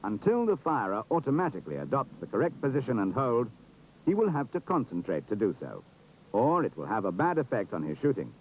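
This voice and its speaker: Formal British man narrating, lively intonation. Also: mid-range voice, fairly quick, slight background noise. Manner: formal, precise, authoritative. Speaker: training film narrator. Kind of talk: scripted instructional narration. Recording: dull, muffled 1970s film soundtrack.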